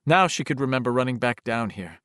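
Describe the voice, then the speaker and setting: A man narrates a horror audiobook in a mid-pitched voice, recorded close and dry. His reading is dramatic and suspenseful, with expressive shifts in intensity. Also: a little fast, dry recording.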